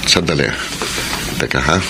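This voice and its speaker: A man playing a middle-aged businessman in a radio drama, hurried yet fatherly and generous. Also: rumbling low, creaky, background noise. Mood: surprised, grateful. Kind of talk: Filipino radio drama acting.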